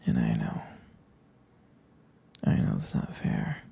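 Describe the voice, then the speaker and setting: A man performing an intimate ASMR boyfriend roleplay as a protective, affectionate partner, speaking in a hushed, hesitant, tender murmur. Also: takes it slowly. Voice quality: breathy, gravelly low murmur.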